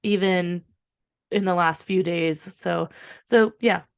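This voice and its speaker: A woman with a slightly hoarse, recovering voice, chatting casually and informally as a podcast co-host, with some swearing. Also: fairly low pitch, fairly even intonation, takes it slowly. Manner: rambling, informal, frequent swearing. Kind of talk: casual chat.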